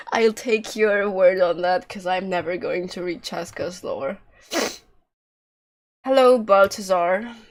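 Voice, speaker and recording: A woman's voice: on the low side, takes it slowly, long pauses. A woman giving casual, joking and sarcastic livestream commentary as she plays a video game.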